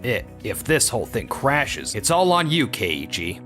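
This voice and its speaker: A man acts out a boastful, touchy young boss from a manga dub. He is smug and theatrical, swinging widely in pitch as he belittles people. Light music plays underneath. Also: very animated, unhurried, a bit bright. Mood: defensive. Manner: smug, sneering, dramatic. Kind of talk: animated manga dub dialogue.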